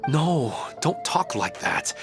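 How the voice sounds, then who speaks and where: A man voicing a gentle, devoted, resigned father in a video game, earnest and soft-hearted. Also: a little high, big pitch swings, slow. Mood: reassuring. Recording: music behind the voice.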